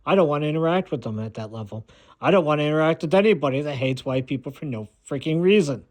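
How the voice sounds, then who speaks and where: An American man giving a rambling, opinionated monologue in a blunt, dismissive way, often halting and repeating himself. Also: on the high side, lively intonation, darkish tone. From United States. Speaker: video maker.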